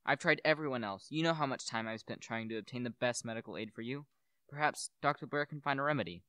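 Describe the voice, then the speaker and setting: A man's voice: medium pitch, fast, bright, forceful tone, clear, resonant tone, dry recording, natural voice. A young man playing a brooding, grieving widower and devoted husband in a solemn, theatrical radio play. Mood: anxious, soothing. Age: young adult. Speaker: student actor. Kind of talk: radio play acting and monologue.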